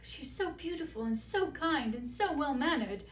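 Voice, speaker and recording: A woman voicing an eager, earnest young prince who exclaims loudly and breathlessly. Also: high voice, lively intonation, moderate pace. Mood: excited. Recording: roomy, distant mic, faint hiss.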